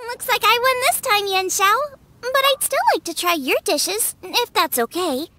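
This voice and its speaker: A woman playing a cheerful, earnest young girl chef in an animated game cutscene. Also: very high-pitched, measured pace, slight background noise, full character voice. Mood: friendly, delighted. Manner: bubbly, earnest.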